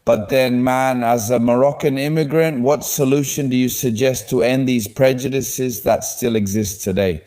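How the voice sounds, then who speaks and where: Host: man, mid-pitched voice, questions pointedly and answers his own questions. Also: steady tone, moderate pace, few pauses, darkish tone. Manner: probing, argues in rhetorical questions. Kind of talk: casual interview talk.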